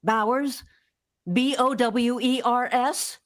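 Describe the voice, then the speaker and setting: High-voiced hospital switchboard operator, a woman acting. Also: fairly even intonation. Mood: businesslike. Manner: brisk, official. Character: businesslike hospital switchboard operator, matter-of-fact. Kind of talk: vintage radio drama acting.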